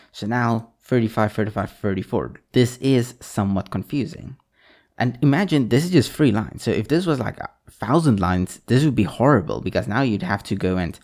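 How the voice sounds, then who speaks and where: A man with a fairly low voice teaches programming, walking through code and reading each string aloud as he types. The soft clatter of a keyboard sits behind his voice. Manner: talks while typing code, reads out strings. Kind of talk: screen-recorded coding tutorial.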